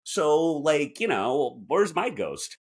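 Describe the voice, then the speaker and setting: A man's voice: on the high side, very animated, slow, husky. A man co-hosting a podcast, chatting casually with a wry, joking manner. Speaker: podcast co-host. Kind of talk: casual comedic chat.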